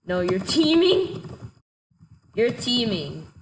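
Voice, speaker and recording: A woman giving casual gameplay commentary, excitable and quick to shout in frustration, a little sick. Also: fairly high pitch, a little hiss or hum, low-quality recording. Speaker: gaming commentator.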